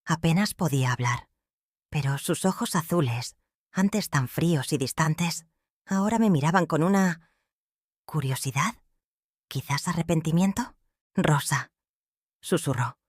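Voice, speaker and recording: A woman's voice: deep voice, animated, unhurried, long pauses, no room sound, studio quality. Older woman, heartfelt Mexican-accented narration. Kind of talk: first-person storytelling narration.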